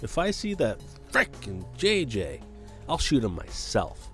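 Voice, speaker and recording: A man playing a hot-headed, edgy cop in a radio play, agitated and rough-talking. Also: mid-range voice, wildly swooping pitch, a little slow, soft background music. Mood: angry.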